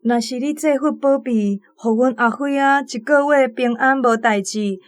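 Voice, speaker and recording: High-voiced, worried Taiwanese mother played by a woman. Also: moderate pace, natural voice. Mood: earnest pleading. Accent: Taiwanese Hokkien. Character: worried, devout mother and wife. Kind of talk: radio drama acting.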